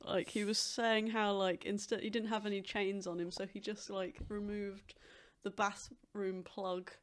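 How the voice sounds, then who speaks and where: A woman's voice: mid-range voice, little pitch movement, a little slow. A woman co-hosting a podcast, chatting informally with friends in a blunt, sweary way. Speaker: podcast co-host. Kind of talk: casual chat among friends.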